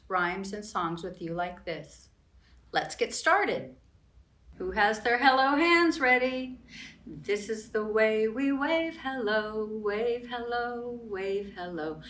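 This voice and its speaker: A woman's voice: a little high, a bit bright. A woman, a children's librarian, hosting storytime and reading aloud expressively to young children, with sing-song rhymes. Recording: roomy echo, distant from the mic.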